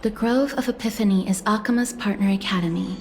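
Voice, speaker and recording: An actress playing a soft-spoken, solemn young woman in a video game, talking politely and in a subdued, earnest way. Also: mid-range voice, a little fast, close to an everyday voice. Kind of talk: scripted video game dialogue.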